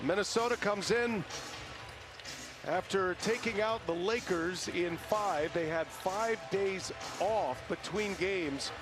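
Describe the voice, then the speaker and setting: A man's voice: high voice, slow pace, over music. A man calling live basketball play-by-play as a game announcer. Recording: loud arena noise, bouncing basketball. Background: loud basketball arena ambience.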